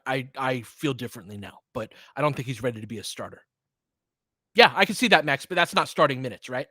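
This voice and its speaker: Animated, bright-voiced man calling basketball. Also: medium pitch, dry recording, studio quality. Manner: informal fan reactions, slangy. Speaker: host of a fan play-by-play stream. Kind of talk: live basketball play-by-play commentary mixed with casual chat.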